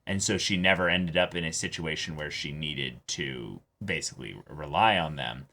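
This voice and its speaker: A man co-hosting a reaction show, casually analysing a story in an explanatory way, often halting to search for words. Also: a bass voice, bright, forceful tone. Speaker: co-host of an anime reaction show.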